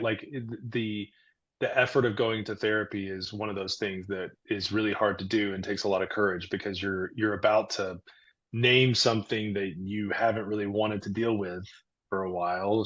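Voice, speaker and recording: Loud, booming man with a dull sound. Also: steady tone, moderate pace. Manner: earnest, explains with everyday comparisons. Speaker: therapist, guest. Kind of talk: casual podcast chat.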